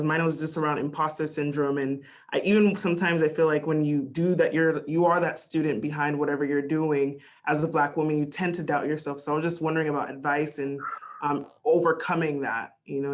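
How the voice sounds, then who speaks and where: Hesitant woman asking a question. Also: low-pitched. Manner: hesitant, searching for words. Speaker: audience member asking a question.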